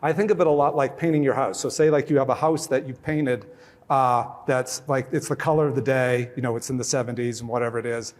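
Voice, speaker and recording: A man's voice: mid-range voice, steady tone, fast talker, darkish tone. A man on a conference panel, explaining casually with everyday analogies.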